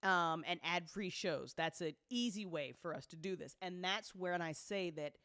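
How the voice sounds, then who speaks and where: Woman, slightly low voice, dry close sound, casual unscripted update, blunt, sweary, colloquial, emphatic. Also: moderate pace, bright, forceful tone, no room sound. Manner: candid, heavily sweary, colloquial. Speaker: audio drama network producer and host.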